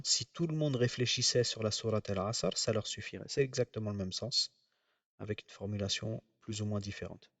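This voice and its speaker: A man giving a religious lecture, explaining in a measured way with frequent pauses. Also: lowish voice, no room sound, recorded from a distance. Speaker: religious teacher.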